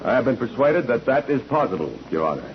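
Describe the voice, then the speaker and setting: An actor playing an earnest, courteous criminal lawyer in a 1940s radio drama, speaking in a formal, measured way. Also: male voice, mid-range voice, very animated, lightly acted. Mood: earnest, persuasive. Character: forceful, earnest criminal lawyer addressing clients and judge. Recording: dull, noisy old radio recording.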